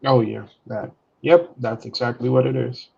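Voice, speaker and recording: A man's voice: medium pitch, steady tone, moderate pace, vocal fry, a little mellow, breathy edge, lo-fi sound. A man chatting casually on a live stream, laid-back, often repeating and restarting his words.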